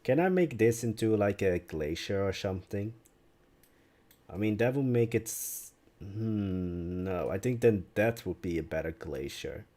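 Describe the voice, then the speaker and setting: A young Dutch-speaking man talks in English at an unhurried, drawn-out pace while he builds on a live stream. His voice sits low and mellow. He muses aloud and answers viewers' questions as they come. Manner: relaxed, musing aloud, answers chat questions.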